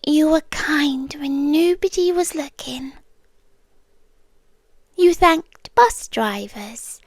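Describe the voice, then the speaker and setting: Brief: a British woman as a lovesick kidnapper, high and unhurried, murmuring into a dry close mic. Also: no echo, heavily acted. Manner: soft-spoken, often slipping into whispers. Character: obsessive romantic young woman kidnapper, calmly sincere. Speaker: ASMR roleplay creator. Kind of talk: ASMR roleplay.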